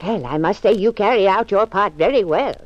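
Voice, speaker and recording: A woman's voice: medium pitch, fairly quick, no echo, close to an everyday voice. An actress playing a spry, meddling older woman hooked on detective stories, chirpy and quaintly prim, in 1940s radio acting. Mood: scheming. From Muncie. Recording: dull lo-fi 1940s radio broadcast.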